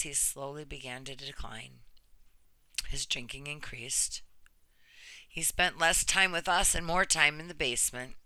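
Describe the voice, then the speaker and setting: Very bright, crisp woman narrator in her thirties. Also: deep voice, dry recording. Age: 30s. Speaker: storyteller reading a personal true story. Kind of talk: scripted first-person narration.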